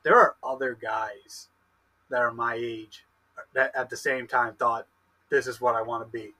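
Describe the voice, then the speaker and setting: A man's voice: medium pitch, steady tone, a little slow, pushed and bright, poor audio. A man in his early twenties co-hosting a podcast, asking questions eagerly and stumbling over restarts. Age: 20s. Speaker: podcast co-host, wrestler. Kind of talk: casual podcast interview.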